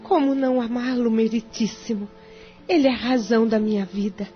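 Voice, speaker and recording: A woman's voice: fairly high pitch, steady tone, brisk, lightly acted. A Brazilian woman playing a devoted, earnest wife in an audio drama, speaking with emotion. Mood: loving, emotional. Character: devoted, earnest wife pleading in divorce court. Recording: dull lo-fi audio, music underneath.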